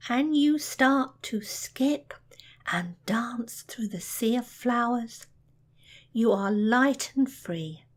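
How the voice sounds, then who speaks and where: A woman reading a scripted guided relaxation in a soothing, measured, soft delivery with pauses. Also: on the high side. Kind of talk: scripted guided meditation narration.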